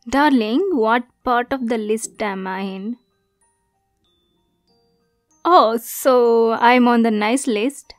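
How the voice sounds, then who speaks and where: A woman's voice: a little high, no room sound. A woman playing a sweet, naive but possessive mermaid girlfriend in an intimate ASMR roleplay, coy and often slipping toward a whisper.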